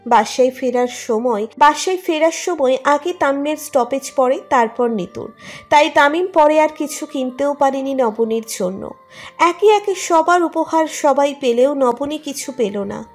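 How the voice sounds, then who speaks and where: A woman's voice: high voice, expressive, a little slow. A woman reading a scripted romantic story as its narrator. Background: music under the narration.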